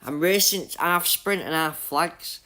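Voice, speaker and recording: A young Yorkshire man chats his way through a racing game on stream, greeting viewers by name and joking about his bad luck. His voice sits a touch high for a man, and his talk is easy and matey. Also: takes it slowly, pushed and bright. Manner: chatty, jokey, greets chat by name, laughs often. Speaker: gaming livestreamer. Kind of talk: casual live-stream chat with viewers while playing.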